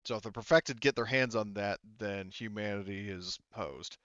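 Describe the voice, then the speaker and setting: A man with a smooth, fairly low voice runs a tabletop game. He explains the lore casually, stopping and restarting often. The sound is dry and a little muffled. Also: fairly bright tone, dry recording. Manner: halting, many restarts and "you know". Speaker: game master. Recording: slightly dull, dry close mic.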